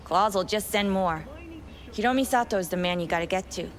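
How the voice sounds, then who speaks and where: Game role, female actor: jaded woman schemer, sardonic and dismissive, medium pitch. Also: fairly quick, lightly acted. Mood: scornful, businesslike. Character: jaded, scornful woman plotting a gang takeover. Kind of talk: video game voice acting.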